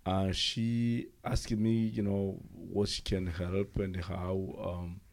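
A middle-aged man from Rwanda with a Rwandan accent, a non-native English speaker, telling his own story haltingly in an interview. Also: low-pitched, flat delivery, vocal fry, a little mellow. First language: not English. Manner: halting, pauses often. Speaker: interviewee, former refugee. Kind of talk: personal account in an interview.

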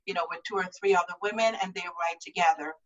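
A woman's voice: lowish voice, fairly even intonation, fast talker, recorded from a distance. A woman speaks in an easy, earnest way and repeats words as she thinks. She sits a little back from the mic, and the recording sounds muffled. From Guyana. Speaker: guest, cycling group organizer. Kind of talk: casual interview talk.

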